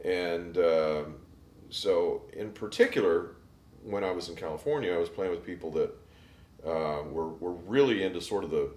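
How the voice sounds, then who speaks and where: Male guest singer, very low voice, halting delivery, roomy recording. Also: darkish tone. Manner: halting, repeats words before finishing thoughts. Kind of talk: casual interview chat. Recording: roomy with faint ambience.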